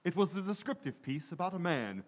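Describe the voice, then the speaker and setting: A man's voice: sits high, big pitch swings, a little fast, dull audio. A man acting a polite, formal music store salesman in an old-time radio comedy. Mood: courteous, obliging. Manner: formal, deferential salesman patter. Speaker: amateur actor in a community playhouse radio recreation.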